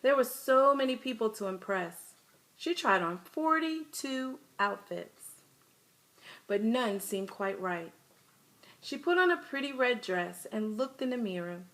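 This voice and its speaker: Animated woman reading a children's storybook. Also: fairly high pitch, measured pace, rough recording.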